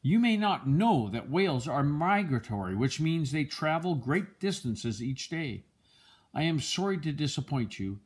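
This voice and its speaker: An older man with a husky voice reads a children's picture book aloud in a gentle, measured storytelling manner. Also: a little high, big pitch swings. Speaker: storybook reader, a grandfather.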